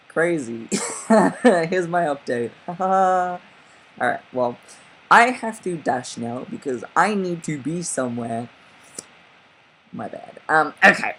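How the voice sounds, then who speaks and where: A man talks casually to camera in a personal vlog update, his voice fairly high-set but sitting at a middling pitch. He is candid and chatty and laughs easily between remarks. A faint background hiss sits under the voice. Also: fairly bright tone, rough recording. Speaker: vlogger.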